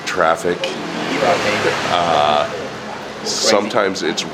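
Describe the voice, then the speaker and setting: Very deep-voiced man. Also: drawn-out words, heavy background noise. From United States. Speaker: English teacher. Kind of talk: street interview answers.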